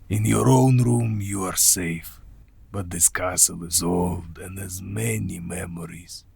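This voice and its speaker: Radio drama villain: courtly, menacing old vampire count, low and heavily gravelled, formal delivery. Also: male voice, no echo, heavily acted. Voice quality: gravelly, husky. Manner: slow, formal, deliberate. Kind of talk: radio play acting.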